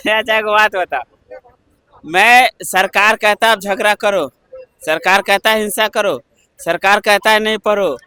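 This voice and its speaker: A man's voice: high-pitched, fairly even intonation, unhurried, sharp tone, clean tone, dry recording. A man with a Bihari accent in a heated street debate, preachy and repetitive, pressing to be heard. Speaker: member of the public debating in a street interview. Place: outdoors on a city street in Delhi.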